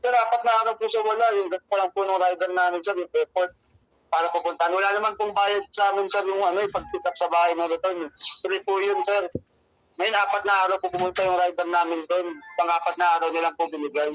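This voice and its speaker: High-pitched Filipino man on a muffled phone. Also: fairly even intonation, fast, fairly bright tone, soft background music. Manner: deferential, repetitive, explanatory, using frequent polite address. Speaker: courier hub customer service caller. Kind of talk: phone customer-service explanation. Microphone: telephone. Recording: muffled lo-fi phone call, dry.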